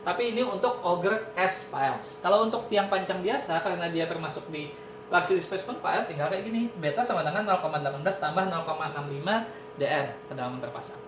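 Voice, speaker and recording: A man's voice: lively intonation, fast. A man giving an online university lecture, explaining step by step and often saying "nah" and "ini". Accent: Indonesian. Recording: echoey room with noise and rumble.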